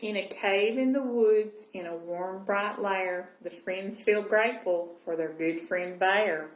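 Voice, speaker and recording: A woman reading a children's picture book aloud and pausing to explain with tag questions. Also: medium pitch. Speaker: library storyteller. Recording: roomy echo, clear background noise.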